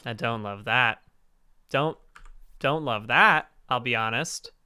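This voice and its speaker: A man with a slightly hoarse voice, chatting casually and rambling to fill space on a game stream. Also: slow pace, sharp tone, no echo. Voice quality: hoarse, raspy low register. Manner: rambling, fills space with talk. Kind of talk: casual chat while gaming.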